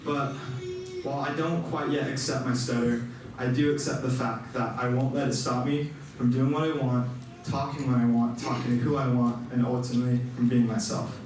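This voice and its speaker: A man's voice: mid-range voice, level tone, normal speed, background noise. A young man who stutters, giving an earnest personal speech to an audience, with repeated sounds and restarts. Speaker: student speaker. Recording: echoey hall, mic far off.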